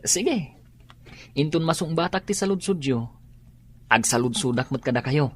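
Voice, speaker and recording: A man's voice: fairly high pitch, expressive, moderate pace, slight background noise, close to an everyday voice. Give me a man as an earnest young student. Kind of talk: Ilocano radio drama acting.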